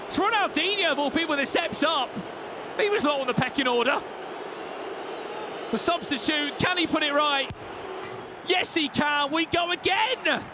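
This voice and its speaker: A man casting an esports penalty shootout, excitable and shouting, his voice going hoarse. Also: extremely high pitch, normal speed, long pauses, noisy background. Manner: excitable, shouting at big moments. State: losing his voice. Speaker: esports caster. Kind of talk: play-by-play commentary.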